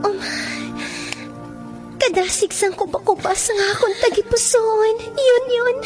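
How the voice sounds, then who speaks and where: Tender fantasy princess for radio drama, high girlish pitch, unhurried, light music bed. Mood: tender, anxious. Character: tender, lovestruck young harpy princess.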